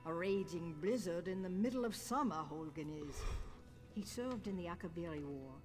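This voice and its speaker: A woman playing a wry, knowing lore-keeper of a fantasy game guild, telling tales in a deliberate way. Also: lowish voice, darkish tone, close to an everyday voice. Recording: game music underneath.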